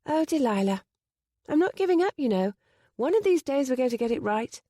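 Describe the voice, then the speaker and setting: A woman doing a lively, stubborn young wife. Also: sits high, brisk, no room sound, studio quality, voice pushed up. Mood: sweet. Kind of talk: audiobook romance character voice.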